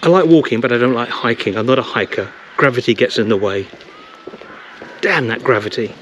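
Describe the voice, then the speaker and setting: A man's voice: medium pitch, brisk, a bit bright, a little hiss or hum. A man in his fifties chatting enthusiastically while filming himself on a village walk, camera mic at arm's length. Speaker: vlogger. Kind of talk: casual walking vlog. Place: village church and lanes, outdoors. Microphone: handheld camera mic at arm's length.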